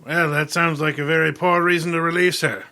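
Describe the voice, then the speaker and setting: An actor playing a brusque, shrewd, imperious detective boss in old time radio style, speaking formally and dryly with probing questions. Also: male voice, a little high, flat delivery, brisk, bright, forceful tone. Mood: dry, skeptical.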